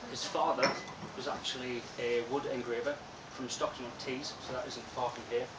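A soft-spoken young man giving an informal lecture, relaxed and chatty with frequent ums. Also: medium pitch, moderate pace. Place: lecture room with projector, indoors. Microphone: far room camera. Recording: echoey room from far off with loud hiss.

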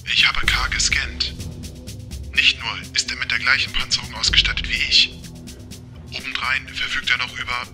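A man's voice: mid-range voice, completely flat delivery, moderate pace, lightly acted. Man acting precise, formal talking car computer. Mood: calm, factual. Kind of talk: German audio drama acting. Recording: loud music under the voice.

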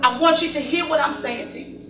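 A woman's voice: sits high, fairly quick, lightly acted. A woman playing a forgiving middle-aged pastor's wife in a gospel stage play, soothing and earnest. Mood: pleading calm. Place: indoor stage set, picked up from afar. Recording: distant roomy stage recording under loud music.

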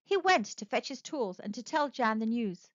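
Woman, storybook narrator, expressive, lively pitch, dull dry lo-fi sound. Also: moderate pace, dry recording. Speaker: storybook reader. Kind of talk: children's read-aloud narration.

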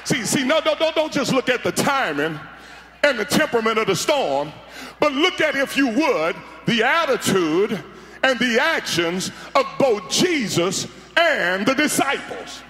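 A man's voice: very high-pitched, big pitch swings, measured pace, sharp tone. A middle-aged man, preaching on a large arena stage into a handheld mic, fiery and full of repeated words and calls to the crowd. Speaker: pastor. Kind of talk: sermon. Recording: echoey arena sound.

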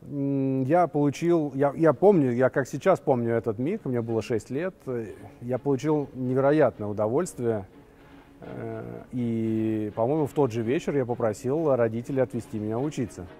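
A young adult man, a guitarist and festival organizer, telling his story in a measured, fluent interview. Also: medium pitch, mellow. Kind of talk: interview recollections. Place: large empty concert hall, indoors.

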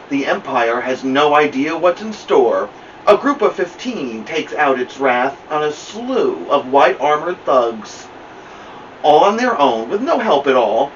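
Guy doing kids storytime, expressive slow reading, kinda far from the mic w some hiss. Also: mid-range voice. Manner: deliberate, dramatic storytelling. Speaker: storytime host. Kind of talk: expressive children's picture-book reading. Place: indoor room at home, seated by a plant. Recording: muffled, noisy, distant camera mic.

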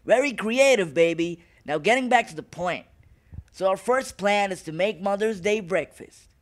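A man's voice: sits high, moderate pace, close to an everyday voice. A man voicing an eager, overconfident twelve-year-old big brother in a cartoon, dramatic and self-assured. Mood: eager, confident. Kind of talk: cartoon voice acting.

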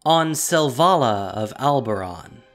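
A woman's voice: very deep voice, lively intonation, takes it slowly. A woman announcing and narrating a scripted fantasy audio drama. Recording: music behind the voice.

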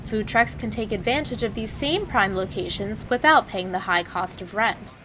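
A young woman student reporter giving a scripted news report in an even, measured way. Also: mid-range voice, noisy background. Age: young adult. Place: outdoors in a city park with traffic.

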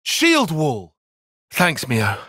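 A man's voice: on the high side, wildly swooping pitch, extremely slow, sharp tone, no echo, clean studio sound, heavily acted. An actor playing a brainy young male tactician in a video game, giving clipped, commanding battle call-outs with composed intensity. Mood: composed, focused.

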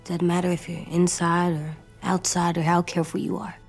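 A woman playing an anxious, stubborn young boy in a dramatic video game, speaking earnestly in halting, childlike phrases. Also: lowish voice, level tone, vocal fry, slightly rough, faint music behind. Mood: uneasy.

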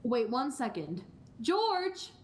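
A woman's voice: on the high side, big pitch swings, takes it slowly. A young woman playing a spirited, lovestruck small-town sweetheart in a live radio play. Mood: playful, coy.

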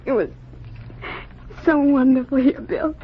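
Anguished, high-voiced young wife. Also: female voice. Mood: anguished pleading. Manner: breathless pleading, halting repetition. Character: anguished, devoted young wife fleeing a future war. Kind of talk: 1950s radio melodrama acting. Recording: muffled old radio recording, faint noise.

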